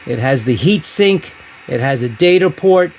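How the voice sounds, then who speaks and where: A man hosting a podcast-style product review, speaking in short, halting phrases. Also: medium pitch, expressive, a little hiss or hum. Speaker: podcast host reviewing CB radios.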